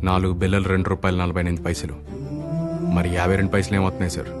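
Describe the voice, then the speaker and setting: A man acts out a defiant hostage-taking everyman in a film drama. His voice is very deep and quick, with sardonic, righteous questioning. A loud score plays over him. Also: completely flat delivery, music in the background, natural voice. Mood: indignant, challenging. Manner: measured, sarcastic, rhetorical questioning. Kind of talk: Telugu film dialogue, argumentative monologue.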